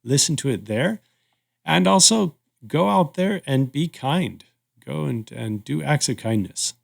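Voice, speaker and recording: A man hosting a reflective podcast discussion, speaking in a measured, thoughtful way with pauses between phrases. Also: a little high, expressive, gravelly. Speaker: podcast host.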